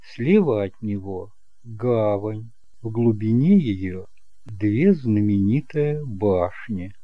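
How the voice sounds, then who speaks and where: Male narrator for scripted fiction: slow measured reading, mellow tone, dry close sound. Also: lowish voice, lively intonation, no echo, highs cut off. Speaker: audiobook narrator.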